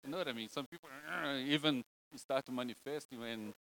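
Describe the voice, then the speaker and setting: A male preacher from Brazil speaks with a Brazilian Portuguese accent, delivering a casual church sermon. His English is broken and halting, and he keeps the tone light with jokes at his own expense. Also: medium pitch, fairly bright tone, poor audio. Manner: joking, self-deprecating, broken English. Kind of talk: informal sermon.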